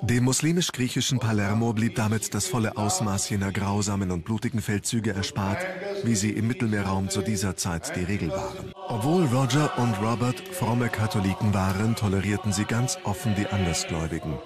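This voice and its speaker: A man's voice: fairly low pitch, brisk. A man reading scripted narration for a documentary. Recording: music under the narration. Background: background music.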